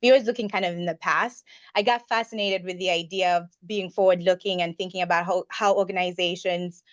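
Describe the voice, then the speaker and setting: Low-voiced woman, muffled dry sound. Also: fairly even intonation, brisk, dry recording. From Uganda. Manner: earnest, reflective, detailed. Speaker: guest, investment partner. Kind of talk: personal storytelling in a podcast interview. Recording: slightly muffled dry recording.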